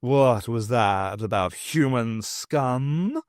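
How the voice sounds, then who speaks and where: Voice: medium pitch, expressive, very slow, no room sound, studio quality. An audiobook narrator voicing a big talking tomcat, haughty and menacing, with a purring, sardonic manner. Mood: silky menace. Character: large fluffy male talking cat, haughty and menacing.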